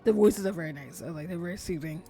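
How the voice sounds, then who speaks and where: A woman streamer chatting casually while she plays, soft-spoken and sometimes nearly whispering. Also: on the low side, fast, gravelly, faint music behind. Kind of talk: casual gameplay commentary. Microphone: desk streaming mic.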